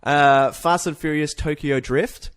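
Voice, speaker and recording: A man's voice: on the high side, steady tone, a little slow, clear, resonant tone. A man co-hosting a podcast, chatting casually about films with informal, sweary, joking banter. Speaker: podcast co-host.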